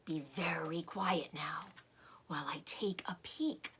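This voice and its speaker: A woman voicing a clever, excitable and loving father fox in a storybook, with proud exclamations, commands and cautious hushes. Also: lowish voice, slow, a little hiss or hum, voice pushed down. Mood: hushed.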